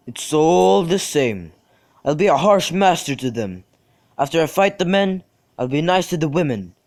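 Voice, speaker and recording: A man's voice: a little high, expressive, normal speed, a little mellow, no echo, clean studio sound. A man playing a bragging, crude young servant itching for a brawl in an amateur student radio drama. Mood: boastful.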